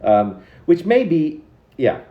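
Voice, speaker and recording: A man reading his own poem aloud theatrically, in an arch, mannered, halting delivery with dramatic emphasis. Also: a little high, wildly swooping pitch, reverberant, dull audio. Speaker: poet reading own work.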